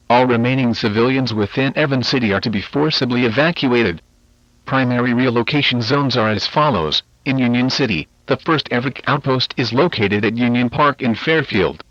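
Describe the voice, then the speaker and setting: A man's voice: medium pitch, a bit bright, dry recording, close to an everyday voice. A man plays a stern government emergency announcer in an analog horror broadcast. His delivery is formal and authoritative, with clipped military phrasing. The sound is dry and somewhat muffled, like a lo-fi transmission. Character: stern official of a government biohazard agency issuing evacuation orders. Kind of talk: scripted emergency alert narration, analog horror.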